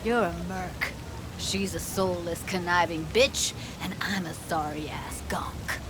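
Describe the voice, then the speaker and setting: Game sidekick: young, hotheaded, slangy and cynical, slightly low voice over city ambience. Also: female voice, slow, noisy background. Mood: angry. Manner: blunt, slangy, sweary. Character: hotheaded, street-tough young woman, cynical but loyal. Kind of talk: video game cutscene acting, naturalistic dialogue.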